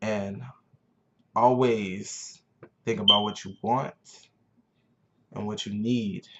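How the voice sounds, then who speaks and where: A man's voice: on the low side, steady tone, slow pace, noisy background. Earnest young man, lo-fi recording. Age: 20s. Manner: earnest, searching, repeats phrases for emphasis. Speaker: vlogger. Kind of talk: motivational talk to camera.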